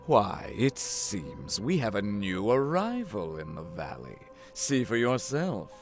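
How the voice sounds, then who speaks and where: Slow, deep, sly wind spirit. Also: male voice, huge pitch swings, full character voice. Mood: teasing. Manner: teasing, languid, dramatic. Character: sly, theatrical male wind spirit, amused elder brother. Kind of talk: visual novel voice acting. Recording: music behind the voice. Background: game music.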